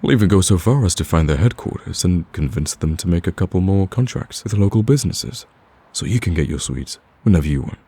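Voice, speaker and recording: A male actor plays a cocky villain hopelessly smitten, wooing in a romantic audio roleplay. His voice is extremely low, dark and husky. He talks in a smooth, teasing way with an earnest, persistent plea underneath. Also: expressive, a little fast, few pauses, full character voice. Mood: cocky persuading. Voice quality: husky, very deep. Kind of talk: romantic ASMR roleplay acting.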